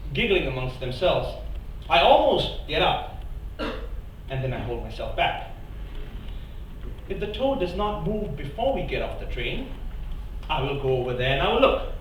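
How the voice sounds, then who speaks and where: A man giving an expressive, playful live reading of a first-person story on a theatre stage. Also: fairly high pitch, a little hiss or hum. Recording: echoey theatre, mic far off.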